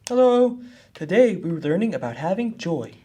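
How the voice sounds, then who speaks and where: A woman voicing an earnest, husky boy puppet who explains things helpfully in a children's puppet show. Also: lowish voice, very animated, moderate pace, mellow. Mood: upbeat.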